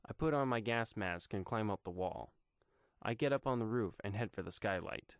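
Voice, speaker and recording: A man's voice: lowish voice, normal speed, no room sound, clean studio sound. A man reading scripted first-person story narration.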